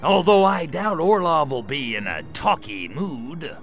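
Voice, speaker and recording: A man voicing a sarcastic gray alien invader in a video game cutscene, delivering deadpan quips. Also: fairly high pitch, measured pace, soft background music. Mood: sardonic.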